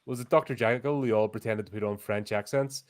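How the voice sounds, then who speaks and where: A man's voice: fairly low pitch, a little fast. A man, co-hosting a podcast, with wry, jokey banter in casual film chat. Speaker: podcast co-host.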